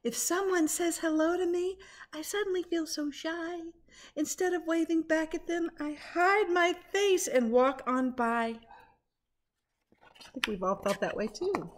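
A middle-aged woman, a teacher, doing an expressive, interactive picture-book read-aloud with chatty asides to the children. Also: very high voice, measured pace. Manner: expressive, dramatic when reading, conversational asides to the children. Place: small living room at home, indoors. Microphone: webcam or laptop at arm's length.

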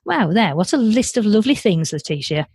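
Woman, Yorkshire accent, medium pitch, podcast host, chatty, exclamatory, dry recording. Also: very animated, brisk, a little mellow, husky, no room sound. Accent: Yorkshire English. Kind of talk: light-hearted interview chat.

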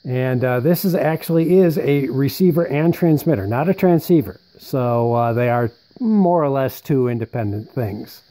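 A man with a soft-edged, mellow voice presents in an easy show-and-tell style. He talks conversationally and thinks aloud as he goes, at a medium pitch. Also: animated, normal speed, hardly any pauses. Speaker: presenter showing vintage military radio equipment. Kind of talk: casual unscripted show-and-tell narration.

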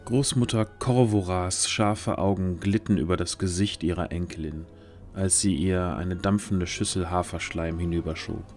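A man with a low voice reads scripted fantasy narration for an audio drama. Faint music plays behind him as he tells the story. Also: fairly even intonation, moderate pace, darkish tone.

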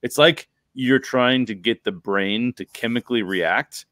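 A middle-aged American man hosts a casual podcast from home. He speaks emphatically in a medium-pitched voice, close to the microphone with very little room sound. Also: measured pace, fairly bright tone, no echo. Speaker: podcast host. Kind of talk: casual, animated podcast discussion. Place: bedroom at home, on a video call. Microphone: close desk microphone with headset.

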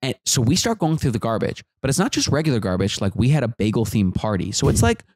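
A man in his thirties, a comedian guest, telling animated jokey stories on a podcast couch into a close boom mic. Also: fast, dry recording. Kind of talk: casual storytelling chat. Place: living room studio with couch.

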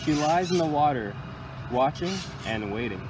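A young man reads a picture book aloud for little kids in a fairly high voice that moves up and down a lot. His phrasing is expressive and deliberate, like a storyteller. He is outdoors, with clear ambient noise around him. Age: young adult. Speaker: county environmental employee reading to children. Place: outdoors under a tree by water.

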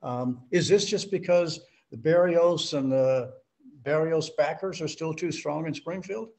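A man hosting a virtual town hall, asking questions in a halting, conversational way. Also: fairly high pitch, vocal fry, a little mellow. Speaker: host. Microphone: video call.

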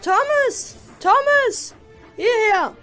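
A woman's voice: very high-pitched, lively intonation, extremely slow, fairly bright tone, soft background music, heavily acted. A woman voicing a panicky, shrieking male steam engine in a cartoon dub. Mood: panicked. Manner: frantic, crying out.

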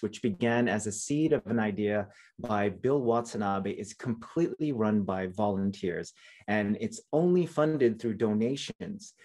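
A man's voice: mid-range voice, dark tone. Chatty man hosting an event. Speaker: host, moderator. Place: indoor room on video call.

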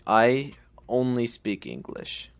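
A man's voice: medium pitch, very animated, slow pace, creaky, a crowd in the background. Amused young guy reacting to chat. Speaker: teammate. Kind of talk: casual gaming chat.

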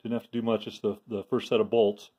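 Low-voiced man explaining a garage project. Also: flat delivery, dark tone, husky. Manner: plain, unhurried, with many restarts and "uh"s. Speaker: DIY video maker. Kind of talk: casual show-and-tell walkthrough. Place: home garage. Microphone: handheld phone camera, speaker off screen.

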